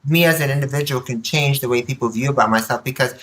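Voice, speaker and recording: A woman guest on a podcast telling personal stories candidly, with an animated, emphatic manner and acted-out quotes. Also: a bass voice, quick pace. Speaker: podcast guest, nonprofit board member. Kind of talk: candid personal storytelling in conversation.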